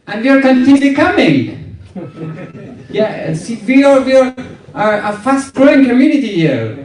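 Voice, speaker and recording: A man in his young adult years performing stand-up in English. He talks slowly in a slightly raised voice that swoops up and down, and his delivery is wry and dry. The sound is roomy and picked up from a distance. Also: high voice, slight background noise. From Afghanistan. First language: Farsi. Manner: wry, deadpan joking with laughing asides. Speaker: stand-up comedian. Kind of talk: stand-up comedy set.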